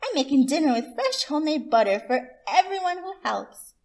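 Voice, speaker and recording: Woman as a high-voiced friendly lady possum. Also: big pitch swings, moderate pace, a little mellow. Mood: coaxing. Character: friendly female possum homemaker asking friends for help.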